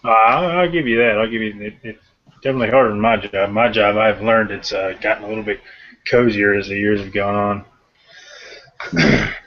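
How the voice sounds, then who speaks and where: A man's voice: fairly low pitch, moderate pace, pauses often. A man in his mid-twenties in casual chat, blunt and teasing. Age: 20s. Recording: muffled lo-fi audio.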